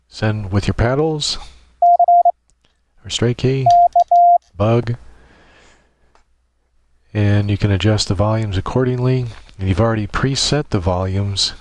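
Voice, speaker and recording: A man with a low-pitched voice talks through a software setup step by step. His delivery is informal and somewhat halting, like a casual tutorial. The sound is dry, with the microphone close and very little room in it. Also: dry recording. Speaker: tutorial presenter.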